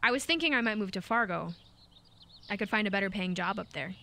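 Radio play young mother: bright tone, mid pitch, polite, practical, a little hesitant. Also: expressive, fast, gravelly, slight background noise.

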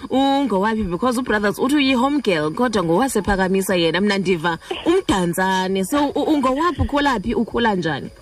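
A South African woman hosting a midday radio show, lively and quick to laugh, switching between languages. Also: medium pitch, far from the mic. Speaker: radio host. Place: radio studio.

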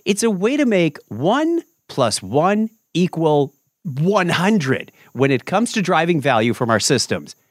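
A male podcast host with a fairly high, bouncy voice that swings all over its range. He chats casually and jokes around. The sound is dry and close, with no room echo. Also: unhurried. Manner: lively, jokey, tangent-prone. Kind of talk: casual interview chat.

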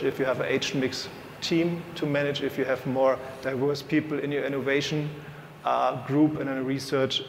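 A man co-hosting a conference panel, speaking in a halting, conversational way. His voice is gravelly with heavy fry. It rings through a roomy, echoing hall with a long reverb and a faint bed of noise. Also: mid-range voice. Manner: halting, conversational, hands off to partner. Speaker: co-presenter and moderator.

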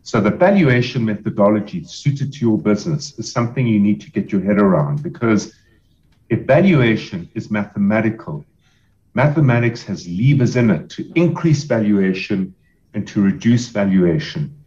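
A man advising on selling a business, speaking in a measured, explanatory way with emphatic repetition. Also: fairly low pitch, animated, a little mellow, highs cut off. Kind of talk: podcast interview answers.

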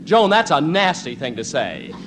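A man's voice: fairly high pitch, sing-song, normal speed. An actor playing a stubborn, flustered husband and father in 1950s radio comedy, stammering through his lines on a noisy old recording. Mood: flustered, self-reproachful.

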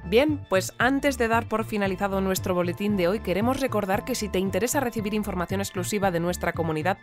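A woman's voice: medium pitch, natural voice. Woman playing a composed newscaster over music. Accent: Spain Spanish. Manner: polite, clear presenter delivery. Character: composed female news bulletin presenter. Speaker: news presenter and debate moderator. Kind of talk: scripted newscast in audio fiction.

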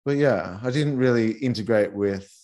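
A man's voice: fairly low pitch, normal speed, darkish tone. A man, a guest in an interview, talking casually and rambling with many fillers and restarts. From Australia. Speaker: guest, massage teacher for couples.

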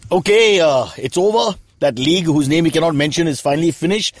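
A man hosting a casual cricket podcast, teasing his guest with quick jokes. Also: fairly high pitch, soft background music. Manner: jokey, teasing, quick banter. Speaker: podcast host.

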